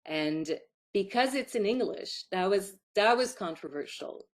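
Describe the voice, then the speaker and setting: A woman's voice: medium pitch, lively intonation, a little slow. A woman in her fifties from Quebec, a native French speaker with a Quebec French accent, chatting in anecdotes as a filmmaker being interviewed. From Quebec, Canada. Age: 50s. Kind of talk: casual interview.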